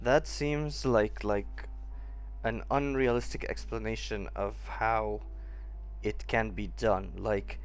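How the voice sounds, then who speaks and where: A man giving casual, improvised gaming commentary as a streamer, sceptical and halting as he thinks aloud. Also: on the low side, fairly even intonation, clear, resonant tone. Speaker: gaming streamer reacting to a playthrough.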